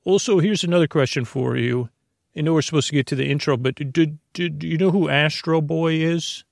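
A man with a creaky voice hosting a bedtime podcast in a meandering, halting ramble that keeps interrupting itself and drifting off topic. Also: fairly high pitch, no echo, studio quality. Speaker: podcast host.

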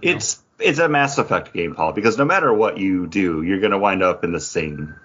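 Male podcast co-host with a deep voice, explanatory and choppy phrasing, somewhat dull lo-fi sound. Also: lively intonation, normal speed, fairly bright tone, slightly rough. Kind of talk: casual chat about theme park attractions.

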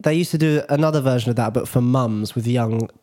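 A man's voice: medium pitch, a little fast, mellow. A young British man hosting a podcast in a casual, teasing, playful way. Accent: British English. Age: young adult. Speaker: podcast host.

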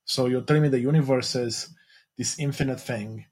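A man's voice: mid-range voice, fairly even intonation, moderate pace, darkish tone, breathy edge. A man from Romania hosting a podcast interview, reflective and thinking aloud in long searching sentences. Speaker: podcast host. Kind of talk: casual interview chat.

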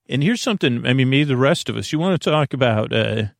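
A man with a creaky voice hosting a bedtime podcast, rambling in a lulling, halting way and often interrupting himself as he drifts into tangents. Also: mid-range voice, lively intonation, no room sound, studio quality. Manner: meandering, halting, digressive, self-interrupting; soothing, lulling. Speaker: podcast host.

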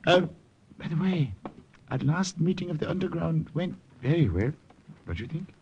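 An actor playing a shrewd priest of the resistance in a 1940s radio drama, speaking softly. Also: male voice, on the high side, animated, normal speed, dull audio, a little hiss or hum. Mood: sly, gentle. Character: shrewd French village priest in the underground.

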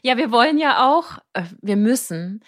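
A woman's voice: fairly high pitch, normal speed. A woman hosting a podcast conversation, affirming her guest often and telling her own stories with energy. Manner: affirming listener, lively when telling her own story. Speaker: podcast host.

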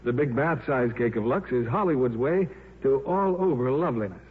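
A man's voice: mid-range voice, expressive, fairly quick, husky. A man with an American accent reading a scripted 1950s radio advertisement as announcer. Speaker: commercial announcer. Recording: muffled thin 1950s radio recording.

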